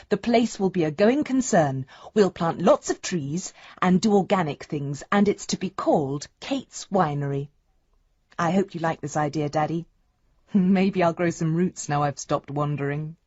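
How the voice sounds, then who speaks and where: An actress playing an affectionate, hopeful daughter, husky-voiced and earnest, reading a letter to her father in a radio drama. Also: on the low side, expressive, unhurried, a little mellow, dry recording. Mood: fond, hopeful. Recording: dry, slightly dull studio sound.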